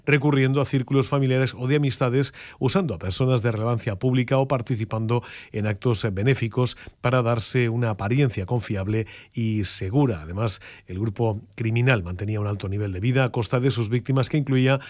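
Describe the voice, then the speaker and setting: A man reading the regional news as anchor, steady with the odd hesitation. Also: mid-range voice, a little fast, no room sound. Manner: steady delivery with occasional hesitations.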